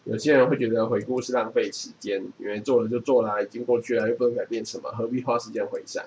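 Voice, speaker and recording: A man's voice: medium pitch, steady tone, fast, darkish tone, slight background noise. A man, reading from a book and explaining it in a casual chat. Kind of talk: reading a book aloud and chatting about it.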